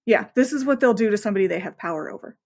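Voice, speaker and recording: A woman speaking casually as an interview guest, telling things in an animated, bookish and enthusiastic way. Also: mid-range voice, very fast. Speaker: podcast guest, author.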